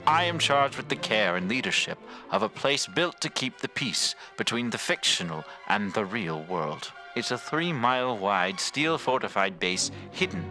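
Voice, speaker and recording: A man's voice: on the low side, big pitch swings, normal speed, few pauses, over music, low-quality recording. A man playing a formal, commanding director in a dramatic audio drama. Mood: grand narration. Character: authoritative, measured male director, a legendary adventurer.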